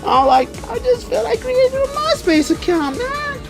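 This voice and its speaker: A young man telling a personal story casually, in an animated, slangy way, acting out the conversations as he goes. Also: very high-pitched, normal speed, gravelly, over music, low-quality recording. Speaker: video storyteller.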